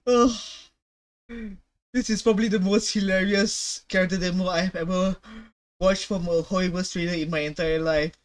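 Excitable young man reacting on camera. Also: sits high, fairly quick. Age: young adult. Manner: excitable, emphatic, slangy. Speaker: reaction video host. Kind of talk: casual reaction commentary. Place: small room at home.

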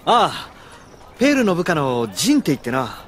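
Anime drama role: easygoing young male swordsman, expressive, slightly high voice. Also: brisk, slight background noise. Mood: glad. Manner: casual, explanatory. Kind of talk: Japanese anime audio drama acting.

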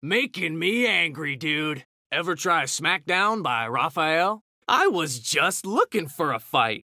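A man's voice: on the high side, very animated, slow, bright, forceful tone, dry recording, studio quality, heavily acted. A man voicing a cocky, hot-tempered young mutant ninja turtle, trading brash, slangy taunts in a fighting video game. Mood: brash, combative. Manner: brash, slangy trash talk.

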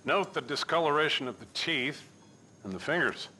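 An actor playing a jaded, wisecracking senior coroner in a video game cutscene, dry and clinical in manner. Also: male voice, fairly high pitch, lively intonation, moderate pace, bright, forceful tone, a little hiss or hum. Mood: sardonic.

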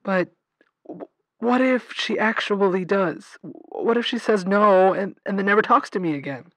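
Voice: mid-range voice, normal speed, fairly bright tone, no echo, natural voice. Actor as nervous vampire caller, stammering, confiding, hesitant, dry close sound, radio drama. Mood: panicked, anxious. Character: anxious young vampire caller with a crush. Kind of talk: audio drama call-in acting.

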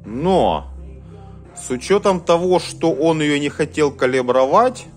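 Male demo host, off-the-cuff and halting, very varied intonation, faint music behind. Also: a little high, lively intonation. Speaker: host of a cassette deck test vlog. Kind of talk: casual hands-on demonstration commentary.

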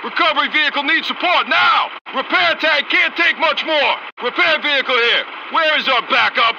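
A dutiful soldier in an armored vehicle, with a high, very sharp and forceful voice. He gives clipped war-game callouts over a dull radio. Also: moderate pace, dry recording, exaggerated voice. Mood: urgent, shouting. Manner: clipped, forceful military delivery. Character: male armored vehicle crewman, dutiful, eager, urgent soldier. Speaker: game unit voice actor.

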